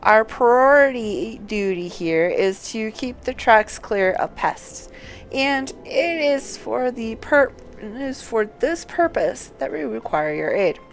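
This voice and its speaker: A woman reading out an earnest male sellsword recruiter from a fantasy game, with formal, measured, cautioning delivery. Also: a little high, animated, a little hiss or hum.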